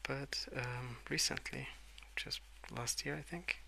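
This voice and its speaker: A man speaking in a soft, hushed ASMR ramble, drifting between murmur and whisper in a hesitant, unhurried way. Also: medium pitch, fairly even intonation, gravelly, slight background noise. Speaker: host of an ASMR colouring channel. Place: indoors at a wooden desk.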